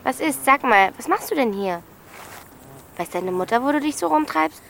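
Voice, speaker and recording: A woman's voice: high-pitched, lively intonation, moderate pace, gravelly, fairly bright tone, slight background noise, heavily acted. A woman playing a blunt, bossy thirteen-year-old girl in a naturalistic radio drama. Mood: bossy, probing. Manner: blunt, colloquial, questioning.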